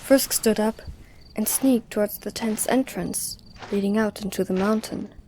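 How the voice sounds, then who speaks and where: A woman narrates an audio drama as a scripted story. The voice is mellow and soft-edged, at a medium pitch. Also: unhurried, a little hiss or hum.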